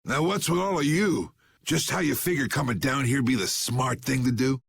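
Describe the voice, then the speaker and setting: A man voicing a gruff, commanding old guild boss in a video game, folksy, drawling and stubborn, with a gravelly, husky voice. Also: mid-range voice, moderate pace. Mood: stern. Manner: folksy, drawling, plain-spoken. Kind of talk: video game dub acting.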